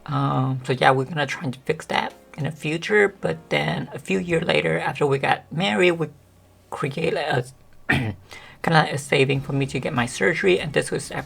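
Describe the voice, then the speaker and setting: A woman vlogger chatting casually to the camera with a monotone, deep voice that sometimes cracks, rambling with many fillers. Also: vocal fry, slightly rough. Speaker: beauty vlogger.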